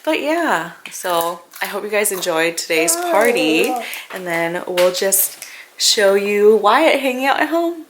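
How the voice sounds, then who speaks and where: A young woman who is a native Vietnamese speaker, chatting casually and haltingly to viewers as a vlogger. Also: medium pitch, sing-song. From grew up in a Vietnamese-speaking family. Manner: informal, halting, thinking aloud. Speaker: family vlogger. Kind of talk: casual personal vlog chat. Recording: noisy home-video sound with clicks.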